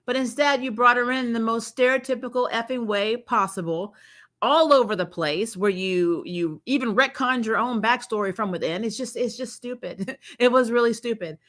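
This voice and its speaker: A woman's voice: fairly high pitch, moderate pace, a bit bright. A woman hosting a fan review, giving casual, blunt, exasperated commentary with some mild swearing. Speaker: host of a fan review channel. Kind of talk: casual opinionated trailer commentary.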